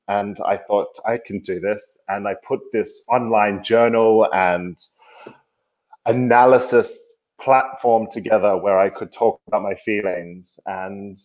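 An Australian man in his thirties, answering interview questions in an articulate, measured way over a remote call. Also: on the low side, darkish tone, lo-fi sound. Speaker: podcast guest. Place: at home in London.

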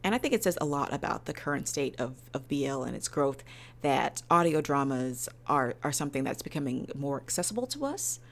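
Low, creaky woman podcaster. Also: big pitch swings, fairly quick. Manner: enthusiastic, emphatic, playful. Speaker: podcast host and reviewer. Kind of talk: semi-scripted podcast review.

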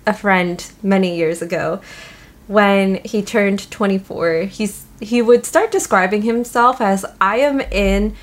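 A woman's voice: medium pitch, slow. A woman in her mid-twenties co-hosting a casual podcast, teasing and giggling. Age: mid-20s. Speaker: podcast co-host.

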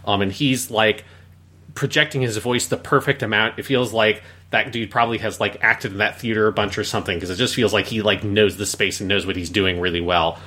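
A man with a slightly low, bright and forward voice hosts a casual review chat. He rambles from thought to thought, peppering his sentences with "like" as he works out his opinion. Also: fairly even intonation, fairly quick. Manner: rambling, frequent "like". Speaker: podcast host and reviewer.

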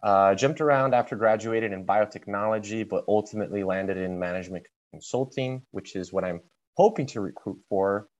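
A young man from Brazil co-hosting a student podcast, reading announcements in a steady way. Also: on the low side, a little fast, dull audio. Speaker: podcast co-host. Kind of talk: reading announcements, then casual chat.

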